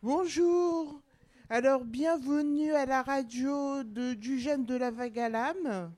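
A woman's voice: sits high, slow pace, darkish tone, a little hiss or hum. A woman co-hosting a community radio show, giving a slightly formal welcome to open it. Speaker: radio co-host.

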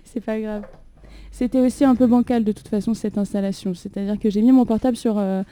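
A woman's voice: medium pitch, steady tone, brisk, warm tone. A young woman in casual chat, laughing often and full of fillers, recorded on a phone propped up in a small room. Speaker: poet, host of the reading. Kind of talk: casual chat and poetry reading. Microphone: phone propped on a water carafe.

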